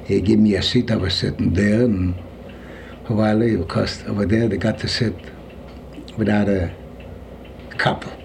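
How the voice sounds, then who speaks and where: A man in his seventies from Poland with a Yiddish accent, recalling his life in an interview, halting and searching for words. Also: lowish voice, steady tone, gravelly, darkish tone, slight background noise. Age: 70s. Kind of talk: oral history recollection.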